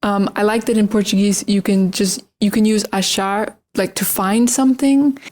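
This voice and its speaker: A woman's voice: medium pitch, fairly even intonation, moderate pace, darkish tone. An American woman ranting casually to camera, rambling and frank, often repeating words and restarting her sentences. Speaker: language-learning vlogger.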